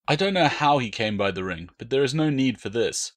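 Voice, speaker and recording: A man voicing a principled Roman soldier who stays even, firm and measured under threat in an audiobook. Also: medium pitch, close to an everyday voice. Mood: firm denial.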